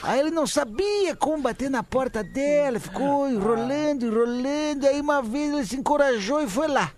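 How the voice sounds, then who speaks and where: Man, very high pitch, animated, chatty, joke telling, casual stream. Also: moderate pace, crowd noise. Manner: chatty, joking. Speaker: joke teller on a live stream.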